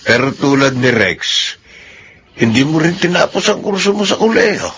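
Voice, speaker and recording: A man's voice: fairly high pitch, sing-song, moderate pace, a bit bright, slight background noise. A man acting an aging, weary, caring Filipino father in a radio drama, grave and admonishing toward his grown children. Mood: weary, disappointed. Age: older.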